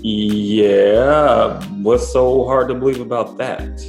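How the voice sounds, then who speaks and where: Gravelly, evasive boyfriend. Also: male voice, medium pitch, steady tone, slow, a little mellow, roomy sound, soft background music. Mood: defensive. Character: evasive, defensive boyfriend reluctant to commit. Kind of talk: audio drama acting.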